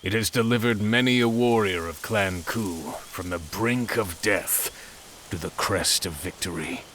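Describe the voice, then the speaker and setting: A man's voice: sits low, a little slow, a bit bright, slight background noise. A man playing a proud, battle-hardened general in a video game, formal and weighty in manner. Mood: grave. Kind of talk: video game cutscene acting.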